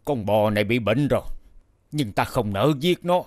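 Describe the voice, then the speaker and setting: An actor voicing a soft-hearted farmer in an animated fairy tale. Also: male voice, medium pitch, moderate pace, very noisy, natural voice. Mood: regretful.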